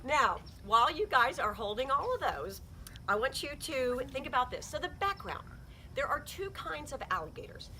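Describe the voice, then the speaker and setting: A woman's voice: sits high, normal speed, slight background noise. A middle-aged woman who works as a heritage interpreter, giving an upbeat, encouraging guided nature talk outdoors to a small group. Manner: upbeat, encouraging, lively speaker. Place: outdoors at a ranch.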